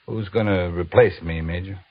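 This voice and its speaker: An actor playing a dutiful cavalry captain with wounded pride in an old radio drama, speaking in formal military fashion. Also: male voice, deep voice, fairly even intonation, normal speed. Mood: subdued, questioning. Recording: dull old radio recording.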